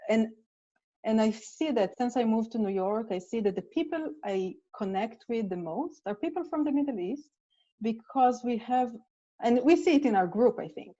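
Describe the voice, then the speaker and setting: A woman's voice: a little high, lively intonation, normal speed, mellow. A woman from Israel with an Israeli accent, Hebrew her first language, chatting thoughtfully on a video call and searching for her words. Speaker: playwright. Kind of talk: casual conversation over video call. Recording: dull lo-fi video call audio.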